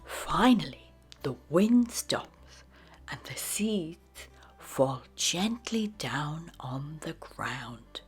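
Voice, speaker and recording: A woman reading a children's storybook aloud in a breathy voice, with deliberate, expressive delivery. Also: fairly low pitch. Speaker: storybook narrator. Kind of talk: children's read-aloud narration.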